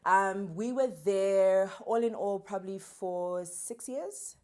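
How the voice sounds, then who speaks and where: A woman in her thirties, an actress guest, talking thoughtfully with pauses in a casual interview. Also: medium pitch, darkish tone, clear, resonant tone. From born in Zambia, raised in Australia and Johannesburg. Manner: thoughtful, measured, pauses with fillers.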